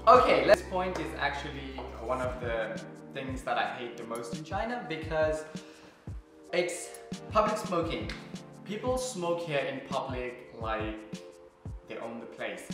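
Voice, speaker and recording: A man's voice: mid-range voice, very animated, unhurried, over music. Chatty Nepali vlogger man in echoey room. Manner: chatty, candid, frequent fillers and asides. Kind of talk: casual vlog talk to camera. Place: apartment kitchen.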